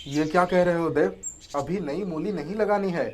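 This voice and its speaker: An actor playing a calm, patient elder brother, a hardworking farmer, gently advising in a measured way. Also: mid-range voice, a little hiss or hum, natural voice.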